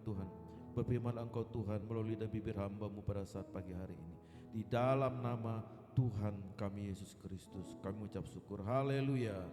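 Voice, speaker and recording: A man leading a church prayer in earnest, repeated invocations. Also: medium pitch. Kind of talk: devotional prayer. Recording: echoey hall, music under voice. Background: musical instrument playing.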